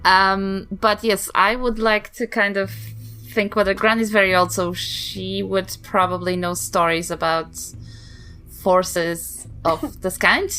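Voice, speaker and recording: A woman's voice: mid-range voice, fairly even intonation, slow pace, pushed and bright, soft background music, rough recording. A woman, chatting casually as a player in a tabletop game.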